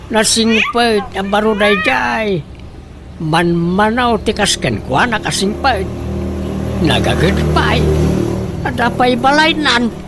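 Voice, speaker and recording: A woman's voice: a little high, background noise. A woman playing a meddling older relative in a radio drama, chatty and persuasive as a matchmaker.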